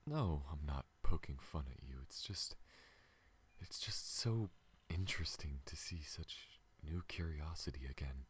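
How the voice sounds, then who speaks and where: A man voicing a gentle, shy, apologetic alien in an intimate ASMR roleplay, soft-spoken and hesitant, often slipping into a whisper. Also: very deep voice, unhurried, slight background noise. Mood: wistful, curious.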